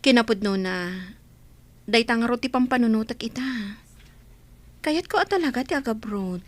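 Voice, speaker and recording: A woman's voice: on the high side, animated, moderate pace, natural voice. A woman playing an earnest, devoted young mother in a radio-style drama, speaking sincerely with an Ilocano accent. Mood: resolved.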